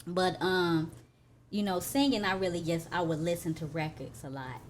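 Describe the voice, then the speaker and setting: Chatty woman, slightly low voice. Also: normal speed, slight background noise. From Chicago. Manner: chatty, with many fillers and "you know what I'm saying". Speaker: guest rapper and singer. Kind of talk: casual interview chat.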